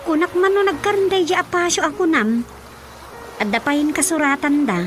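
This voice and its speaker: A woman's voice: sits high, normal speed, soft background music, natural voice. A woman playing a curious, exclamatory, scandalized villager in a Filipino radio drama, with a Filipino accent. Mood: shocked, curious.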